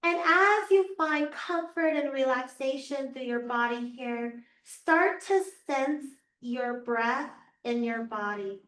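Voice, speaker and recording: A woman yoga teacher guiding a class through slow, soothing cues, her voice a little raspy from losing it. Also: high-pitched, lively intonation, fairly bright tone. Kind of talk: guided yoga class instruction. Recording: echoey room, voice from far off.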